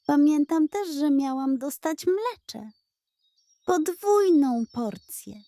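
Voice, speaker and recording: Woman playing a female goat, shrewd, cool, deliberate, dry, bossy, slow-paced, children's storybook. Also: high-pitched, warm tone, no echo, pitched above the performer's own voice.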